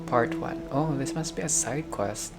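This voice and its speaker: A man's voice: medium pitch, a little slow, music in the background. A man doing a game playthrough, reading narration aloud and dropping in casual reactions. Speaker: gamer playing a visual novel.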